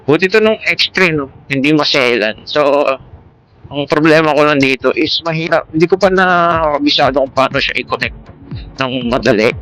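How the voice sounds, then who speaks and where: Bright-voiced Filipino man vlogging casually. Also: a little high, normal speed, low-quality recording. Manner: rambling, informal, mixes in English words. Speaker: motovlogger. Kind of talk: casual vlog chat. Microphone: Bluetooth intercom headset. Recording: Bluetooth intercom mic with vehicle noise.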